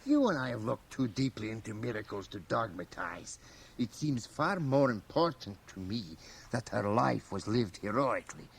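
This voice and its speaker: An actor playing a very old, mischievous and learned priest in a radio drama, with a gravelly aged voice and sly, chuckling asides. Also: male voice, fairly low pitch, animated, moderate pace. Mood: earnest, reflective. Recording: faint hiss, home cassette radio transfer.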